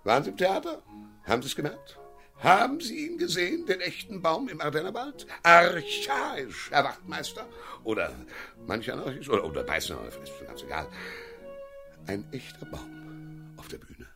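An actor playing a vain, scornful, ageing theatre director in a radio play, pompous and rambling with theatrical emphasis. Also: male voice, a little high, wildly swooping pitch, normal speed, gravelly, over music, full character voice. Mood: grandiose, rapturous.

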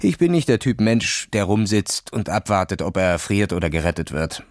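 A man's voice: fairly low pitch, sing-song, moderate pace, no room sound, clean studio sound. A man voicing a cocky, condescending boy who brags in casual slang, in a children's audio story. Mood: boastful. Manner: bragging, sneering, casual youth slang.

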